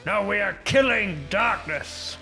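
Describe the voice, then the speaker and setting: A man's voice: high-pitched, lively intonation, takes it slowly, gravelly, over music. A man bantering as a player in an improvised comedy tabletop game.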